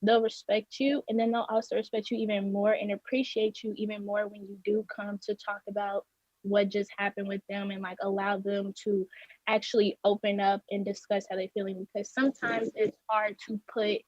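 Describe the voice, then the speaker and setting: A woman's voice: medium pitch, level tone, moderate pace, a little mellow, no echo. A woman in her twenties, a student panelist, speaking reflectively with some hesitations. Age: 20s. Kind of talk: panel discussion. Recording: dry, dull sound.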